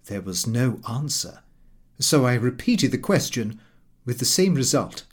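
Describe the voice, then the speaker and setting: A man's voice: medium pitch, big pitch swings, measured pace. A man narrating a comic first-person audiobook in a prim, precise, slightly aggrieved manner.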